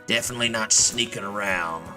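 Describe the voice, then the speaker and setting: A man's voice: lowish voice, brisk. A man giving casual, rambling gameplay commentary as a streamer, jokey and profane. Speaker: video game streamer. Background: game music.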